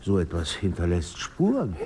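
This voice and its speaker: A restless intellectual in a literary radio play. His voice is fairly low, his delivery polite and measured but contrary. Faint noise sits behind him. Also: huge pitch swings, mellow. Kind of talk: literary radio drama dialogue.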